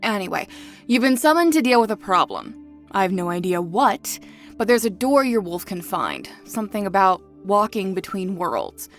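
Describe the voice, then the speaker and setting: A woman acting a lively elven clan leader. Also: medium pitch, normal speed, faint music behind. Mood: offhand, instructing. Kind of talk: acted fantasy audio story.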